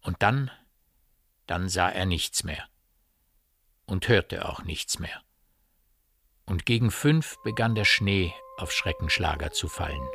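A man narrating a literary radio play in a grave, measured storytelling manner. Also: sits low, expressive, vocal fry, fairly bright tone, exaggerated voice. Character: grave omniscient male narrator of a village mystery.

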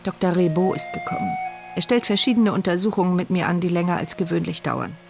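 A woman's voice: lowish voice, fast, creaky, music in the background. An actress playing a paralysed, mute woman who narrates her sardonic, bitter thoughts in a radio play. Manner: sardonic, biting inner voice. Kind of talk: radio play inner monologue.

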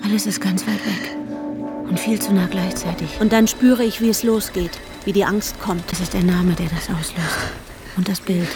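A woman's voice: mid-range voice, steady tone, normal speed, lightly acted. An actress playing an anxious, panic-prone woman narrating her inner turmoil in a German radio drama, often dropping into whispers. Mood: anxious, brooding. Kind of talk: German radio drama, intimate first-person inner monologue. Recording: voice over prominent music.

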